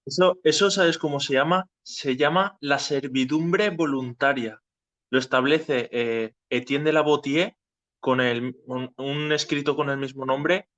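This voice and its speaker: A man from hosting a casual discussion, explaining in a halting way as he searches for phrasing. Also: medium pitch, fairly bright tone, no room sound. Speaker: host of a political audio discussion. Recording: dry, slightly dull lo-fi audio.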